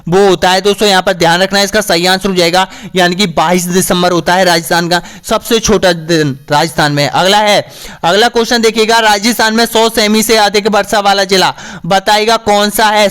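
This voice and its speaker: A slightly hoarse man teaching an exam-prep quiz lesson, asking each question and then giving the answer, with a frequent "friends, here" as a filler. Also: high voice, fairly bright tone. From Rajasthan, India. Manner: repeats "dosto yahan par", asks then answers.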